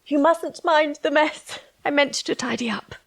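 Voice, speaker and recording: A woman playing a kindly, hospitable, flattering middle-aged secretary, chatting effusively in an audio drama. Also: sits high, unhurried, creaky, exaggerated voice. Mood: gracious, eager.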